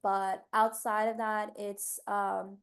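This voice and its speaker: A woman from New York City sharing her experience as a graduate student panelist, earnest and steady, with many fillers. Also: medium pitch, slow. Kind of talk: panel answer on a video call. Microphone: video call.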